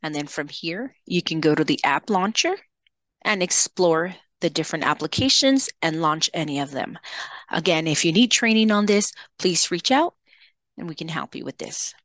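A woman software trainer giving an instructional screen-share tutorial, explaining in a measured way and addressing learners directly. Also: sits low, expressive, no room sound.

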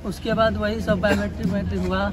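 A man's voice: sits high, flat delivery, fast. A man in his twenties from northern India, recounting his experience as an interviewee outdoors. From Ghazipur, northern India. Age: 20s. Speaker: interviewee, railway job candidate. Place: outdoors on a busy city roadside. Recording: loud street and traffic noise.

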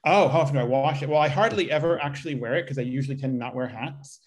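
A man's voice: mid-range voice, rapid-fire. Male panelist, mid register, unassuming, wordy casual explanations. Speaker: panelist, reader. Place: indoor room, video call.

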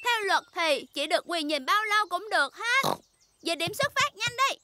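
A woman's voice: extremely high pitch, animated, normal speed, very noisy. A woman voicing a self-assured older girl pig cousin in a cartoon dub, bossy and playful with grown-up airs.

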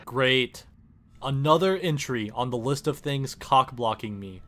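A man's voice: medium pitch, a little slow, bright, forceful tone. A man doing comedic parody dubbing of a game, sarcastic, exasperated and sweary. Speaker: parody series creator voicing the player character. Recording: voice over game music.

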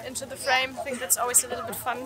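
A woman's voice: high voice, completely flat delivery, very fast, over a noisy crowd. A woman in her thirties from Germany, with a German accent, talking in a casual vlog style as a street photographer. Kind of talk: casual vlog talk and narration.

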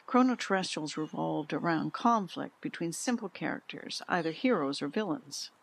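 An actress playing a candid, troubled middle-aged mother in an audio drama, speaking with a husky voice. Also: fairly low pitch. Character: candid, troubled mother confiding about her peculiar son. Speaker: interviewee.

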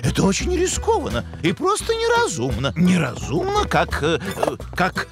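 A lofty, lecturing cartoon moose scientist, swinging wildly through his pitch as he holds forth. He exclaims like a stage professor. A music bed plays clearly behind him. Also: huge pitch swings, normal speed, heavily acted. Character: pompous bookish male moose scientist. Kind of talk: cartoon voice acting.

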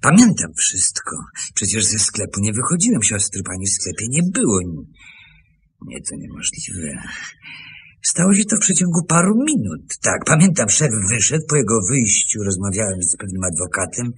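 An actor playing an aging emigrant watchmaker in a radio play, hesitant and emotional, with a gravelly, husky voice. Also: male voice, fairly low pitch, big pitch swings, normal speed, exaggerated voice. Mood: agitated. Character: aging emigrant watchmaker, wounded by an old false accusation.

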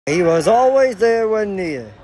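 A man calls an indoor soccer match from the sideline, with a high voice that leaps up and down as chances come. He speaks slowly and exclaims at every save. The phone recording carries clear game noise from the big hall behind him. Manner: excitable, exclaiming at each chance. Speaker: amateur commentator filming a match. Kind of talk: play-by-play commentary. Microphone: phone camera filming from the sideline.

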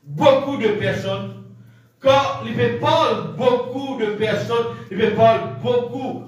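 A man's voice: very high-pitched, slow pace, dull audio. A middle-aged man with a Mauritian accent preaching a sermon in short, repeated, rhythmic phrases, recorded from a distance in a small indoor room. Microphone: camera at a distance across the room. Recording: echoey room picked up from far off.

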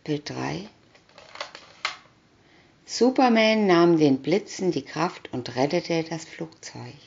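Female storybook narrator: low-pitched, deliberate with pauses between captions, muffled lo-fi recording. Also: lively intonation, vocal fry, darkish tone, slight background noise. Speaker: reader of picture-story booklets. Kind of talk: scripted narration of a children's comic story. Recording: dull lo-fi recording.

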